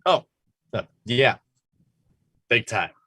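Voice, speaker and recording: A man's voice: medium pitch, animated, slow, pushed and bright, slightly rough, dry recording, clean studio sound, exaggerated voice. A man playing a sheepish, dreamy sandwich maker in a comedy table read, understated, on a noisy microphone.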